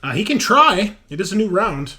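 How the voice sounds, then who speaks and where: Guy running a tabletop rpg, higher bright voice, bit of music in the background. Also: sing-song, moderate pace. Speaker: game master. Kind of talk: running a tabletop roleplaying game.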